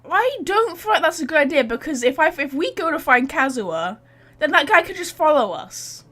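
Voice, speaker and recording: A woman's voice: high-pitched, big pitch swings, moderate pace, bright, forceful tone. A woman doing casual livestream game commentary, blunt, joking and given to swearing. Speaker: game streamer.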